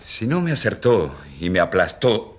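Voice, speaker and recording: An actor playing an ironic, defiant rural priest, eloquent and emphatic, in a radio drama. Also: male voice, on the low side, sing-song, normal speed. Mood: ironic, accusing.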